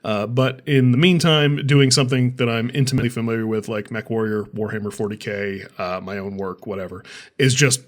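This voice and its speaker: A man's voice: medium pitch, fairly even intonation, brisk. Casual, rambling man hosting a channel. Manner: self-deprecating, easygoing rambling with asides. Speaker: channel host, author and game designer. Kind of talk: casual rambling vlog talk.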